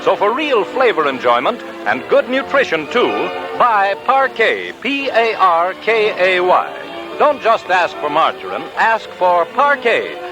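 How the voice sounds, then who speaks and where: A man's voice: sits high, poor audio. A man announcing on 1940s radio with a polished, emphatic sales delivery. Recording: old 1940s radio broadcast recording. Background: music.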